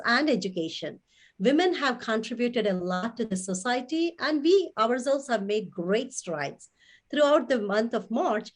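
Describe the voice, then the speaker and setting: A woman hosts an online interview, reading her opening and the guest's introduction from prepared notes. Her voice sits on the higher side, and she speaks formally over a video call. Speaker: host.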